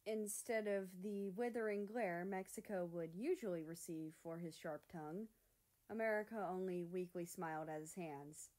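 A woman's voice: fairly low pitch, normal speed, a little mellow. A woman reading scripted fiction aloud as a story narrator. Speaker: fan fiction reader.

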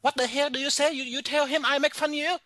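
A man's voice: very high-pitched, flat delivery, fast talker, heavy background noise, pitched above the performer's own voice. A man voicing an irate woman restaurant owner who speaks broken English and stammers out insults. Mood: indignant. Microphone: phone call.

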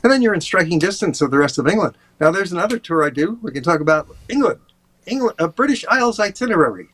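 An older man, a tour guide, chatting over a video call in a relaxed, rambling way with many fillers. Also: high-pitched, quick pace, slightly rough. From USA. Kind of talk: casual interview talk. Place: home office, indoors.

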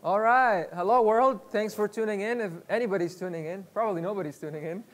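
A man's voice: high voice, quick pace. A man in his thirties from Iraq giving a live workshop talk in a lecture room, chatty and loose, repeating words and using many fillers. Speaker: guest speaker. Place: lecture room with projector screen.

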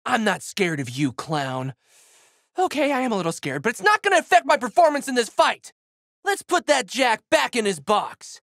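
A man's voice: extremely high pitch, very animated, moderate pace, fairly bright tone, dry recording, studio quality, heavily acted. A male actor voicing a spirited, goofy teenage boy hero in a video game, with brash, big-hearted, slangy delivery. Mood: nervous bravado. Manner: exuberant, slangy, emphatic delivery. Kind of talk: video game character battle lines.

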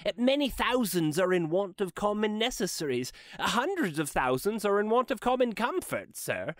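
A man plays an earnest storybook gentleman seeking charity. He is formal and courteous, stumbling slightly, with very lively intonation and pitched above the narrator. The sound is dry and close. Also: normal speed, no room sound. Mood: earnest, hesitant. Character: polite, earnest, portly gentleman collecting for charity. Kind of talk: dramatic storybook reading.

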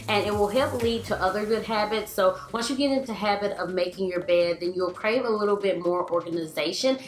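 A woman's voice: medium pitch, reverberant. A young woman vlogger giving casual, upbeat home cleaning tips, speaking to her viewers. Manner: chatty, upbeat, addresses viewers as you guys. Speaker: lifestyle vlogger. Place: large home, bedroom.

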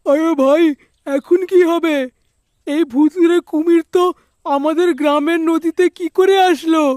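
A woman's voice: very high-pitched, steady tone, unhurried, darkish tone, dry recording, clean studio sound. A woman voicing panicky, complaining village farmers in a melodramatic cartoon, exclaiming and whining. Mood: panicked complaining.

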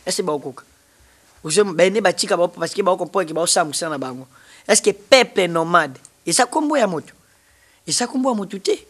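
Animated young Congolese man, high voice. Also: quick pace, husky. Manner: animated, gesturing with both hands. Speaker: musician guest. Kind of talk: TV studio interview. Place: TV studio. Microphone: standing studio mic.

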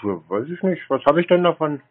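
A man's voice: fairly high pitch, lively intonation, a little fast. A laconic man taking a phone call, answering briefly and without committing himself. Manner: laconic, noncommittal, mostly short replies. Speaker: call recipient. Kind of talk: phone conversation. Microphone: telephone call.

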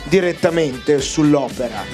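High-voiced playful male interviewer. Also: expressive, measured pace, over music. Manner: playful, teasing questions. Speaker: interviewer, stage host. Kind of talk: casual interview. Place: festival stage tent outdoors. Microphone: handheld mic.

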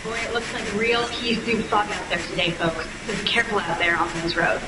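An actress playing a folksy local TV newsreader, presenting a live bulletin within a game. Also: female voice, medium pitch, brisk, lightly acted. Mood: matter-of-fact. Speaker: TV news reporter. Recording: roomy, very noisy old TV broadcast.

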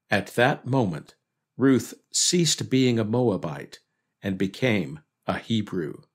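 A man hosting a devotional podcast, reading a scripted reflection on scripture in a measured, earnest way. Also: mid-range voice.

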